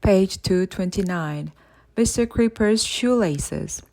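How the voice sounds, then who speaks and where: Expressive woman narrating a children's story. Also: medium pitch, slow pace. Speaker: audiobook narrator.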